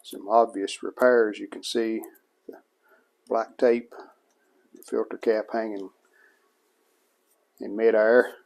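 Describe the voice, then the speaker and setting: A man with a fairly low voice talks through hands-on repair work in a casual, plain-spoken way. His pace is very slow and unhurried, as if thinking aloud while he works. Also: flat delivery, creaky, a little mellow. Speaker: hobbyist restorer presenting a repair video.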